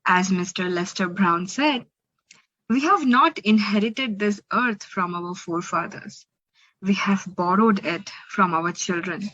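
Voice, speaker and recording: A woman with an Indian accent reading a children's story aloud, expressively and deliberately, with dramatic pauses. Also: mid-range voice, a bit bright, lo-fi sound. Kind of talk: children's picture book read-aloud.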